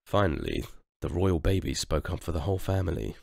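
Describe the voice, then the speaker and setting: A deep-voiced man narrating a children's storybook. His reading is highly animated, with a gravelly edge and expressive turns. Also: fairly quick, no room sound, clean studio sound. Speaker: storybook reader.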